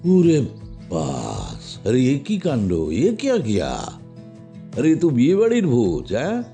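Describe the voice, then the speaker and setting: An actor playing a gruff, commanding middle-aged detective in an audio drama, speaking deliberately with pauses and emphatic repeats. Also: male voice, medium pitch, huge pitch swings, mellow, faint music behind. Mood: delighted.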